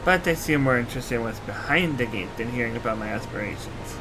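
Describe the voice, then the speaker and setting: A man's voice: mid-range voice, lively intonation, fast talker, noisy background, lightly acted. A man voicing a proud, eager young princess from a fantasy game, explaining her homeland earnestly. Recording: game music under the voice.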